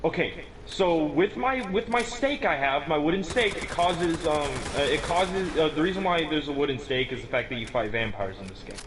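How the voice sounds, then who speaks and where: A teenage boy talks through a game on a voice call, stopping and restarting as he goes and chuckling at his own remarks. His voice sits a little high, and a faint hiss runs under the call. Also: moderate pace, few pauses. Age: teens. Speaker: guest explaining a game build. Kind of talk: casual gaming chat.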